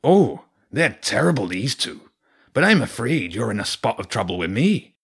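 A man voicing a large grey wolf villain in a storybook, confident and taunting with mock-friendly, unhurried menace. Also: medium pitch, big pitch swings, gravelly, slightly rough, voice pushed up, full character voice. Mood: smug menace. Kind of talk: audiobook character voice.

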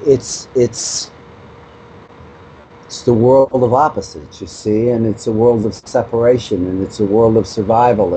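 A man's voice: mid-range voice, warm tone. A man speaking as an interview guest, explaining at length in an emphatic, repetitive way, full of rhetorical questions. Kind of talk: spiritual discussion, explaining at length. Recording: noisy mobile internet connection.

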